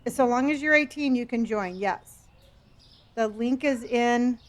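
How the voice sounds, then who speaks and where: A woman's voice: fairly high pitch, measured pace. A woman in her early sixties chatting casually with her live-stream viewers while playing a game, reading their messages aloud and often trailing off and restarting. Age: 60s.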